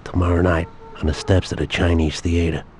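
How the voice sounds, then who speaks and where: An actor playing a controlled, quietly threatening male crime boss in a video game, speaking in a measured way. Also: low voice, little pitch movement, a little hiss or hum, lightly acted. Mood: commanding. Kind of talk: cinematic video game cutscene acting.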